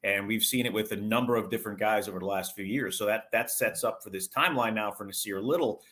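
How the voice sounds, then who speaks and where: A man's voice: on the low side, quick pace, pushed and bright. A man working as a sports broadcaster, giving detailed conversational analysis as an interview guest in stop-and-start phrases.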